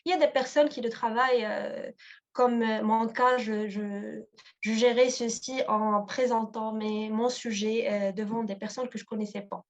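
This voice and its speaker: A woman's voice: a little high, steady tone, moderate pace. Hesitant woman on a dull video call. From Morocco. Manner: hesitant, many fillers and restarts. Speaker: guest, past contest laureate. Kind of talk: online webinar discussion.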